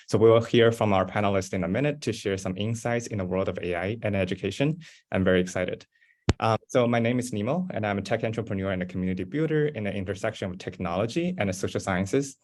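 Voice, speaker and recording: A young man hosting an online panel discussion speaks in a fairly low voice. He is polite and eager, and he fills his pauses with "um.". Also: darkish tone. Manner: polite, eager, many fillers. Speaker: panel moderator.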